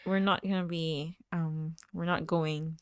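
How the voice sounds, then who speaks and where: A woman co-hosting a casual podcast, giggling often, cautious and prone to trailing off into quiet. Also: low voice, fairly even intonation, a little slow, smooth, no room sound, studio quality. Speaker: podcast co-host. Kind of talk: casual chat.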